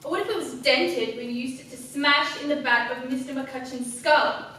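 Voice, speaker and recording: A coldly self-possessed, cunning woman played by a young student actress delivers a stage monologue in a highish voice. Her delivery is formal, deliberate and dramatic. It is captured from a distance in a very echoey room with clear background noise. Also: natural voice. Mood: accusing. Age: young adult. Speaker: student actor. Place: classroom performance in front of class.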